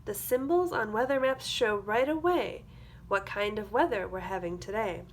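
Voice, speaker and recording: A woman's voice: medium pitch, moderate pace, a little hiss or hum. A woman teacher reading a picture book aloud to young children, expressively, with simple explanatory asides. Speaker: early-grades classroom teacher.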